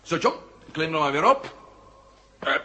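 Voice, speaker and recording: A man acting in a 1970 Dutch radio drama as a resourceful leader who gives brisk, conspiratorial orders. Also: on the high side, big pitch swings, sharp tone, heavily acted. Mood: excited. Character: resourceful commanding male leader in a sci-fi adventure. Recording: noisy old radio drama recording.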